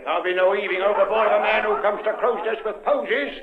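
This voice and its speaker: An actor playing a menacing, boisterous dockside gang boss with salty, swaggering sailor talk in a 1950s radio drama. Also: male voice, high-pitched, fast talker, very noisy, lightly acted. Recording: muffled old radio recording.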